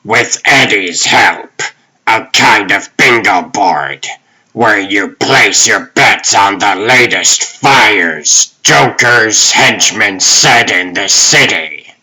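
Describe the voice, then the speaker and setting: Man acting as smug, low-voiced Penguin crime boss. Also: animated, slow, bright, forceful tone, breathy edge, full character voice. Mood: smug, amused. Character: calm, cocky penguin-like male crime boss, gloating. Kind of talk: comic dub acting.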